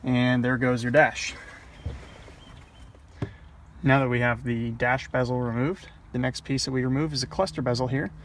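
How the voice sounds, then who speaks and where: A man's voice: medium pitch, steady tone, normal speed, pauses often. Man giving hands-on how-to, some background noise. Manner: plain-spoken, step-by-step explaining. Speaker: presenter of an installation tutorial. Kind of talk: casual hands-on how-to instruction. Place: in or at a car, working on the dashboard.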